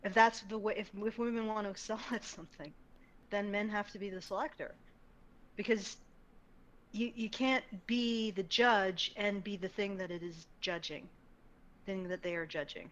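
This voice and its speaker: A woman co-hosting a casual podcast, reasoning through an argument out loud. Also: mid-range voice, fairly even intonation, normal speed, muffled recording. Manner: analytical, reasoning aloud. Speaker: co-host.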